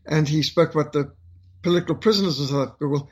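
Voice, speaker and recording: An older man with a Rhodesian accent telling a personal story in an earnest, halting way, full of "uh" and "um". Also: a little high, breathy edge. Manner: earnest, halting with frequent fillers.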